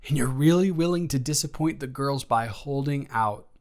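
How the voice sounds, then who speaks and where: A man playing a flustered, evasive young roommate in an improvised audio roleplay, rambling and halting with theatrical exasperation. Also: medium pitch, a little mellow, close to an everyday voice. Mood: nervous stalling. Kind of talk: improvised intimate roleplay monologue.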